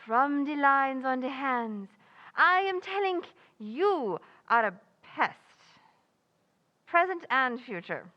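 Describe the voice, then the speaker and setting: A high, swooping voice for a lady music teacher in a radio comedy. She is polite and crafty, mangles her grammar, and takes her time. The sound is slightly dull. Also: big pitch swings, full character voice. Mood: mysterious, coy. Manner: mangled grammar, progressive verbs, deliberate and polite. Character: foreign woman piano teacher and fortune teller, polite, crafty.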